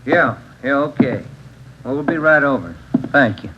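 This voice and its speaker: Steady police partner played by a man, plain delivery, 1940s radio, hiss and lo-fi transcription. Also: mid-range voice, normal speed, natural voice.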